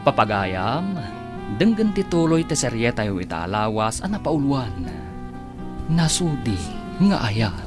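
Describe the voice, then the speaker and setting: A man's voice: mid-range voice, big pitch swings, slow, music in the background. A man with a Filipino accent reading a scripted introduction as a radio serial announcer.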